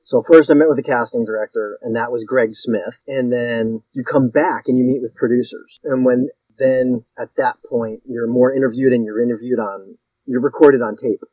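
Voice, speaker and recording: A man in his fifties, a guest on an interview show, talks in a casual, meandering way, circling back and restarting his sentences as he tells stories about himself. His pitch sits in a middle range, and the voice is recorded dry and close. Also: fairly even intonation, normal speed, dark tone, dry recording, poor audio. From Connecticut. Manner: rambling, self-deprecating, with frequent restarts. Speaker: guest actor.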